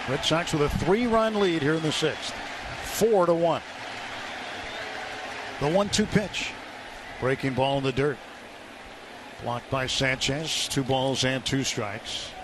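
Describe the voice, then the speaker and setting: Higher-pitched man calling baseball on radio. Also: takes it slowly, pauses often, a crowd in the background. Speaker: play-by-play baseball announcer. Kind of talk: live radio sports commentary. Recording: clear stadium noise behind. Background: outdoor ballpark ambience.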